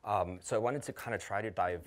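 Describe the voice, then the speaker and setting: A man in his thirties from Denmark, speaking English as a second language, gives a conference talk. His voice sits very low, and he talks in a loose, casual way, full of ums and self-corrections. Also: brisk, a little hiss or hum. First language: Danish. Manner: casual, many fillers and restarts. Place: conference hall.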